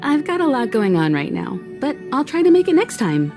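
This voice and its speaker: A woman's voice: high-pitched, sing-song, fairly quick. A woman voicing a gracious, composed, kindly mentor figure in video game dialogue. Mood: kindly, encouraging. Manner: gracious, encouraging. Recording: faint game music behind the voice.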